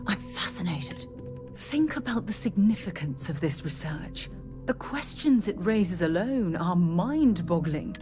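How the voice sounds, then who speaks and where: A formal, precise scientist from a video game, with a slightly low voice and a measured way of speaking. She sounds intensely curious, with soft music under her. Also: very animated. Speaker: scientist companion.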